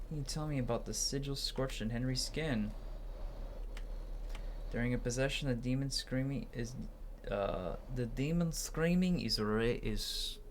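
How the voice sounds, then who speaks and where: Gaming narrator, medium pitch, stumbling dialogue read-aloud, noisy recording. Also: measured pace. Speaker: gaming video narrator voicing characters. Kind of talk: reading video game dialogue aloud.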